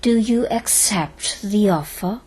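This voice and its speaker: Very slow, solemn Buddhist nun, played by a woman. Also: medium pitch, a little mellow, husky. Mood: solemn, offering. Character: kindly, earnest Buddhist nun abbess.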